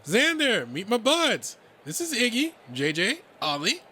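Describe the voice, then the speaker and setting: Man doing an excitable, high-pitched cocky young fighter. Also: measured pace, breathy edge, full character voice. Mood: excited, friendly. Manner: excitable, bragging, slangy. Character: exuberant, cocky young male anthro fighter, friendly and teasing.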